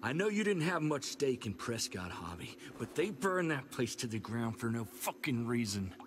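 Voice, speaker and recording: Actor as bitter American male survivor, cynical, rough talker, lively swings in pitch, game scene, noticeable background ambience. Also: moderate pace, slight background noise, natural voice. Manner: blunt, cynical, indignant. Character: bitter, hot-tempered, vengeful rough-talking male survivor. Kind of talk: dramatic video game voice acting.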